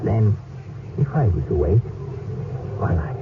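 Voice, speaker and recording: An actor playing a bewildered man who tells his story in a hesitant, halting way in an old radio drama. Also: low voice, animated, recorded from a distance. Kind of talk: 1970s radio drama acting. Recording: tinny old radio with loud noise, off-mic.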